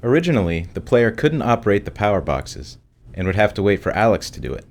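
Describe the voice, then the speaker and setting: A man's voice: lowish voice, sing-song, fast, a little mellow. A man working as a game developer, reading a prepared commentary.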